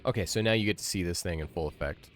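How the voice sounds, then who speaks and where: A man's voice: sits low, fast talker. A man in his thirties giving casual game commentary as a streamer, with wry, grumbling asides. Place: small indoor room, livestream setup. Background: game music.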